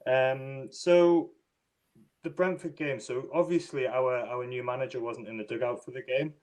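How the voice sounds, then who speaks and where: A man with a Northeast English accent hosts a relaxed podcast chat. He often pauses on ums and restarts his sentences. His voice comes through a video call. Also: mid-range voice. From northeast England. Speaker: podcast host.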